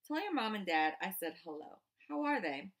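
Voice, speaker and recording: A woman's voice: medium pitch, sing-song, moderate pace, a bit bright. A woman voicing a firm mother, kind but snappy, in an audiobook story. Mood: warm, welcoming.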